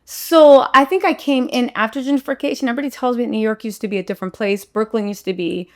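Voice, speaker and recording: Guest, female, 40s: frank and jokey, higher pitch, gravelly texture, faint music underneath. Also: steady tone, fast. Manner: playful, candid, swears casually. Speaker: lawyer, podcast guest. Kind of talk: casual interview chat.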